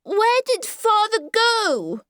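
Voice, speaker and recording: Female reader plays small English boy: whiny, piercing, drawn-out questions, tired and stubborn, storybook dialogue, dry sound. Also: very high voice, big pitch swings, fairly bright tone, no room sound, voice pushed up, heavily acted. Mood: piercing, eager. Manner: whiny, insistent, slow. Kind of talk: dramatised audiobook character dialogue.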